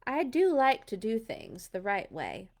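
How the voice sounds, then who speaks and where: A woman voicing an excitable, proud old farmer from a storybook, blustering and exclaiming. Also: mid-range voice, measured pace. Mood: exasperated.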